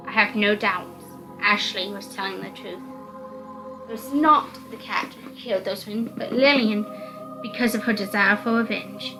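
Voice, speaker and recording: A woman's voice: mid-range voice, rough recording. A woman reading a visual novel aloud as narrator, in dramatic scripted narration. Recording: music under the voice.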